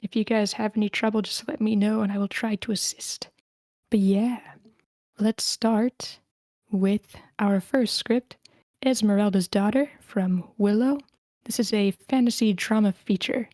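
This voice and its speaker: Mellow woman hosting a script table read. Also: medium pitch, steady tone, a little slow, no echo, clean studio sound. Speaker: host of a screenplay table read. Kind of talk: casual hosting and script narration.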